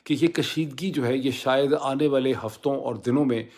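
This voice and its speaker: A man gives news commentary. His voice is medium in pitch and noticeably husky. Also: normal speed, darkish tone.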